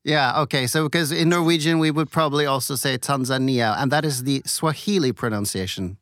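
A man hosting an interview podcast, speaking in a measured, curious way, first reading a scripted intro and then asking questions. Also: mid-range voice, fairly bright tone, dry recording, clean studio sound. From raised in many countries, born in Belgium. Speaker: podcast host.

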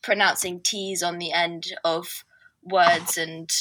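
A woman's voice: on the low side, little pitch movement, slow pace, a bit bright, slight background noise, rough recording. A woman from southwest England with a near-standard southern English accent, chatting as an interview guest with lots of fillers and hedges. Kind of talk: casual interview chat.

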